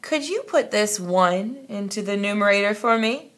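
A woman voicing an excitable male count from a storybook, drawing out his exclamations. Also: mid-range voice, normal speed, close to an everyday voice. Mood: coaxing. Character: excitable old male count of numbers, inventor.

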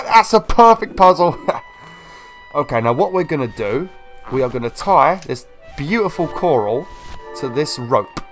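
A young man with a slight cold, casually commentating a video game walkthrough in an excitable, chatty way. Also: a little high. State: slightly ill, sniffly. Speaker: gameplay video host. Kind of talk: casual let's-play commentary.

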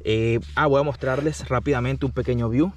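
A man's voice: mid-range voice, fairly even intonation, fairly quick. Man reviewing gear, a bit distant. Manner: explanatory, often checks in with "ok?". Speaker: product reviewer, channel host. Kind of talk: casual hands-on gear review. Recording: distant mic, faint background noise.

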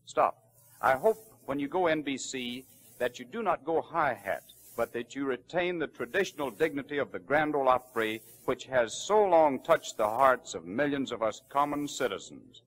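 A man's voice: mid-range voice, expressive, normal speed, fairly bright tone, low-quality recording. A man announcing on 1930s radio, reading messages aloud in a formal period style.

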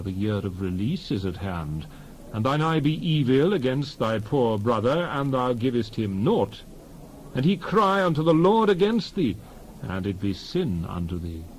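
A man giving a solemn, declamatory dramatized reading of scripture in measured phrases. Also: medium pitch, darkish tone, slight background noise, poor audio. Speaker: Bible reader.

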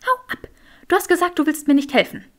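A woman voicing a clever, stubborn young witch in an audiobook, curt and sharp-tongued. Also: sits high, big pitch swings, fairly quick, voice pushed up. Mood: exasperated.

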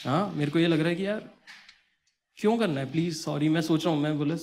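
High-voiced young man doing stand-up. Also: fast talker. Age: young adult. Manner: animated, acts out dialogue in conversational Hindi slang. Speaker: stand-up comedian. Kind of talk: stand-up comedy storytelling. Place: small comedy club stage with audience. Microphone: handheld microphone.

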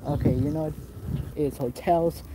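A slightly high-voiced man talks into a handheld phone as he walks outside, rambling, cursing and breaking off mid-sentence. Steady outdoor noise runs under the phone audio, and his voice sits a touch above the usual male range. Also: normal speed. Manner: rambling, profane, restarts often. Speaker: vlogger. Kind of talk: casual walking vlog. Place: outdoors on a resort path. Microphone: phone camera held while walking.

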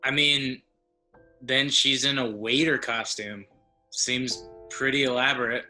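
A man's voice: medium pitch, fairly even intonation, unhurried, sharp tone, faint music behind, poor audio. A young man playing a bemused, easygoing guy on an awkward first date in a comedy sketch, speaking hesitantly and conversationally. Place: curtained room at home, on a video call.

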